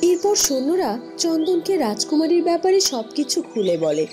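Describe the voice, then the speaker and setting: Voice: high-pitched, very animated, moderate pace. Storybook narrator, scripted cartoon fairy tale, light background music.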